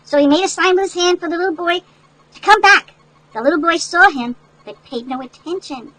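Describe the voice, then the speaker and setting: An older woman reading a picture book aloud to children in an animated, folksy way, with chatty asides that explain things. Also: extremely high pitch, a little fast, poor audio. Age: older, great-grandmother. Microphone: headset.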